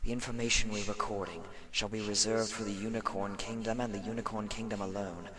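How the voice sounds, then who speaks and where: A man voicing an imperious, haughty ancient unicorn in a story, speaking impatiently in a hushed, breathy way. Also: on the low side, flat delivery, brisk, over a noisy crowd, voice pushed up, lightly acted.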